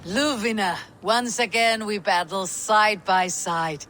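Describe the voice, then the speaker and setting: A woman playing a battle-hardened Viking warrior in a video game, speaking in a husky, measured and wry way. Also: mid-range voice, background noise, lightly acted. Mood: warm, rallying. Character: battle-hardened adult female Viking warrior, steadfast and dry. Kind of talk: dramatic video game acting.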